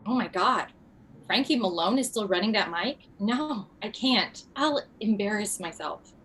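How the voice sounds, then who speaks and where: Woman reading wry middle-aged mom in a comedy script, reluctant, kinda hesitant, average pitch. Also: lively intonation, unhurried, a bit bright, a little hiss or hum. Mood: flustered. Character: widowed middle-aged mother, reluctant former standup comic, wry. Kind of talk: table read of a comedy script. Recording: video call.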